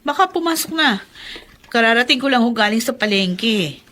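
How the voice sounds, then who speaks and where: An actress playing a flustered, evasive daughter-in-law in a Filipino radio drama. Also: female voice, a little high, expressive, normal speed, a bit bright.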